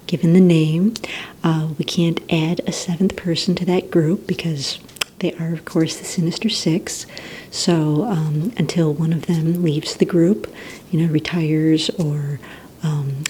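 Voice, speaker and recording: Casting: woman as courteous supervillain-agency receptionist for ASMR roleplay; low, mellow, breathy near-whisper, gentle and organized. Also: a little hiss or hum. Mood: helpful, businesslike. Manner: gentle, hesitant, courteous interviewer. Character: polite, organized female receptionist at a supervillain recruitment center. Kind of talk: soft-spoken ASMR roleplay.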